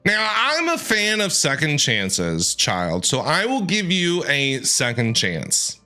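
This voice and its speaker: A man's voice: a little high, wildly swooping pitch, slow, sharp tone. A man with an American accent giving casual, playful reaction commentary to a game, talking back to its characters. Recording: game music playing behind.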